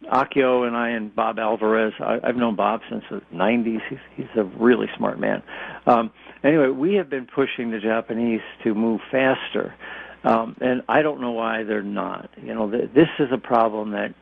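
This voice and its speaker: A mature American man explains things in a plain, folksy way as a radio guest. His voice is medium in pitch with a gravelly fry. It comes through a narrow, tinny phone line. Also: moderate pace. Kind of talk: conversational interview answers.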